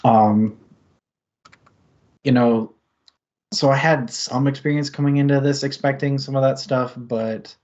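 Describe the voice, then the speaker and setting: A man's voice: mid-range voice, steady tone, measured pace, pauses often, a little mellow. A man co-hosting a book club stream, talking casually with plenty of fillers, restarts and chuckles. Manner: rambling, lots of fillers and restarts, chuckles often. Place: home setup, streamed discussion. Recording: slightly muffled lo-fi stream audio.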